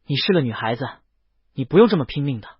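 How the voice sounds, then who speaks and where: A man's voice: mid-range voice, sing-song, fast, husky, no echo, highs cut off. A man voicing a fawning, patronizing palace adult in a storybook, coaxing and condescending.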